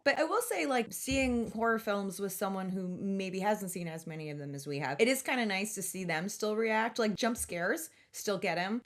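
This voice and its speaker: A woman with a mid-range voice chats casually as a podcast co-host. Her talk is loose, slangy banter with swearing thrown in freely. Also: medium pitch. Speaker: podcast co-host and stand-up comic.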